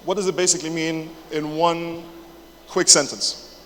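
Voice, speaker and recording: A man's voice: on the high side, fairly even intonation, unhurried, a bit bright. A man in his twenties giving a casual, jokey conference talk, full of fillers, restarts and swearing. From England. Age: 20s. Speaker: conference speaker. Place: large conference hall, indoors. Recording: echoey hall with faint noise.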